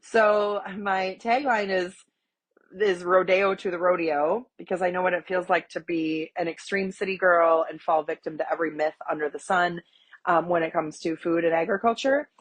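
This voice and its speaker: Guest: woman in her forties, slightly low voice, congested from a cold, animated and chatty. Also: brisk. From Wisconsin, USA. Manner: chatty, animated storytelling with fillers. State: stuffy from a cold. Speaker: guest, agriculture advocate. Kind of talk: conversational interview.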